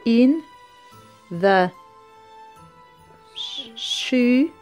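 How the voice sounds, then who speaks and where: A woman with a high voice vlogs at home, talking slowly to her little children. Her delivery swings up and down in an animated, sing-song way, with some music in the background. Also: muffled recording. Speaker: vlogger and mother. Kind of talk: casual home vlog chat, talking to young children. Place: indoors at home, kitchen and bedroom.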